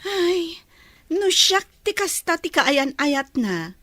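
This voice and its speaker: A woman's voice: very high-pitched, big pitch swings, slow, fairly bright tone, dry recording, exaggerated voice. A woman playing a frank, nosy young best friend in an audio drama.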